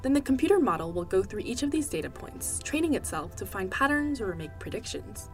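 Woman, first language Korean, animated scripted explainer read over soft music. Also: medium pitch, a little fast.

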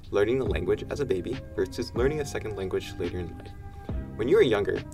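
Fast-talking gravelly man. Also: on the low side. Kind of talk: scripted explainer narration.

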